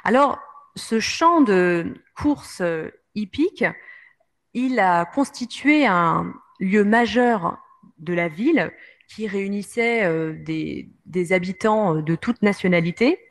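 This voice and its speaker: A woman's voice: medium pitch, slow. Hesitant French woman lecturer. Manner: many hesitations, frequent "euh". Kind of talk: historical talk with slides.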